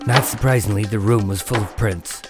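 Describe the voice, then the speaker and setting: An actor playing a determined undercover agent in a radio drama, measured and guarded as he talks and narrates. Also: fairly low pitch, loud music behind the voice, close to an everyday voice. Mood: reflective. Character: determined undercover male agent narrating his mob investigation. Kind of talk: radio drama acting and first-person narration.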